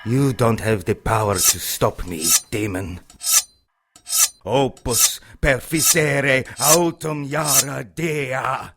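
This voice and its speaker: An actor playing a sinister, cruel priest who is a cult zealot, speaking ominously in an audio drama. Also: male voice, medium pitch, heavy background noise. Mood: zealous menace. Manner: slow, ominous, chanting Latin-like phrases. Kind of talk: audio drama villain acting.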